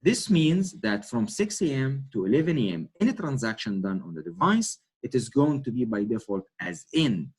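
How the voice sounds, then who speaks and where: A man from Egypt with an Egyptian Arabic accent, a native Arabic speaker, giving a webinar walkthrough of software settings, with frequent self-corrections and fillers. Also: medium pitch, very animated, moderate pace, few pauses, slightly rough. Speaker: product trainer.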